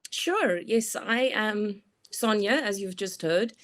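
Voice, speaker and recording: A woman's voice: mid-range voice, animated, slow, a bit bright. South African woman explaining thoughtfully. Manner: thoughtful, explanatory, frequent "you know" fillers. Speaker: guest expert. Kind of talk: conversational interview.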